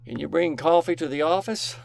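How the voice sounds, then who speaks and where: An actor playing a proud, defensive heir to a family business in an audio drama, brooding and imperious, over music. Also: male voice, fairly high pitch, a little fast, gravelly. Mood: curt, defiant. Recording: music underneath.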